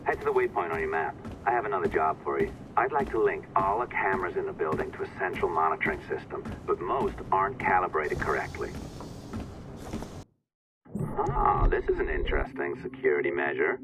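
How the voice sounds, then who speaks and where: An actor playing a smug, secretive tech guide, wry and casually bossy over a radio in a video game. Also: male voice, deep voice. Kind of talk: conversational video game acting. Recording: dull radio sound with loud music.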